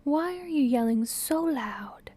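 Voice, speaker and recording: A woman's voice: high voice, lively intonation, takes it slowly, dark tone, pitched above the performer's own voice. A woman voicing a serious, distracted young noble lady in a story, questioning and mildly put-upon. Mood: puzzled, irritated.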